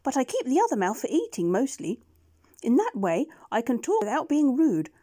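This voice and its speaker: A woman voicing a shy, polite, timid two-headed male creature, speaking gently and slowly. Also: high voice, wildly swooping pitch, pitched above the performer's own voice, heavily acted. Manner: gentle, timid, slow and careful.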